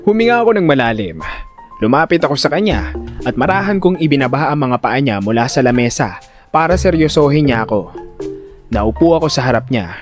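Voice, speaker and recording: A man narrating a first-person audio drama in an expressive, suspenseful, scripted storytelling style. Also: mid-range voice, brisk, music in the background.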